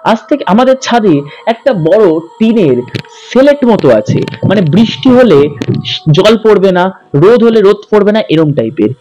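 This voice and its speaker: A man's voice: high-pitched, sing-song, normal speed, mellow, highs cut off. A man narrating in a dramatic, suspenseful ghost-story style as a channel host. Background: background music.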